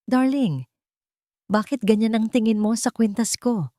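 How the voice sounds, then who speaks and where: A woman's voice: on the high side, expressive, unhurried, warm tone, no echo, studio quality, pitched above the performer's own voice. A woman voicing a sweet-faced, ambitious, scheming fiancée in an audiobook drama. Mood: sweetly coaxing. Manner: sugary, evasive, secretly haughty.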